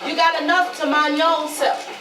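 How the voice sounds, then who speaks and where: A woman acting a sassy, jealous, sharp-tongued woman in a stage play, with a scolding manner. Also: high-pitched, flat delivery, normal speed, over a noisy crowd, lightly acted. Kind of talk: readers theatre stage acting. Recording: echoey, distant, noisy ambience.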